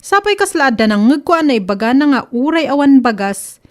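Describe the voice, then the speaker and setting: A woman's voice: sits high, big pitch swings, a little fast. A woman with a Filipino Ilocano accent playing an inquisitive, disapproving neighbour friend in a comedy radio drama.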